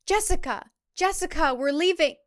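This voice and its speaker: A woman voicing a quick-witted young heroine who is scheming her way out in a thriller story. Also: very high-pitched, soft background music, voice pushed up. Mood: urgent. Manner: falsely sweet, cooing, muttering when alone.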